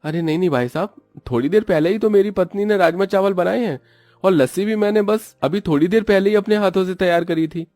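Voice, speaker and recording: A man's voice: high-pitched, brisk, darkish tone, dry recording, faint music behind, pitched above the performer's own voice, close to an everyday voice. A man voicing a worried, downhearted roadside eatery owner in a cartoon, with a plaintive, exclamatory delivery. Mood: defensive. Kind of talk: Hindi moral-story cartoon dialogue.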